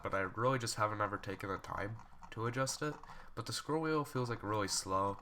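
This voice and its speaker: A man's voice: on the low side, sharp tone. A young man with a cold, sounding congested, casually reviewing computer mice and rambling with fillers and restarts. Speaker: tech reviewer. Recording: faint background noise, poor quality capture.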